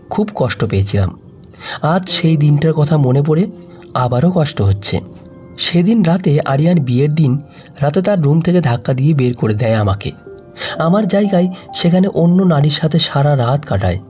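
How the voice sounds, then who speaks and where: A man reads a sad story aloud in a soft, sorrowful voice. His voice sits fairly high for a man and rises and falls widely. The tone is mellow, with faint music underneath. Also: animated, normal speed. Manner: soft, sorrowful first-person reading. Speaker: audio story narrator. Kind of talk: scripted storytelling narration.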